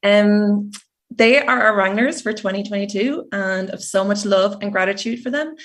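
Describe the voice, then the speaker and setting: A woman's voice: medium pitch, moderate pace, distant mic. A woman hosting an online festival opening, speaking earnestly and appreciatively with a few hesitations. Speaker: festival host. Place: home.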